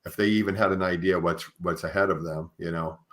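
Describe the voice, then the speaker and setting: A man with a low, deep voice hosts a podcast interview. He talks conversationally, circling tentatively around his questions and restating them as he goes. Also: steady tone, a little fast, fairly bright tone. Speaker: podcast host.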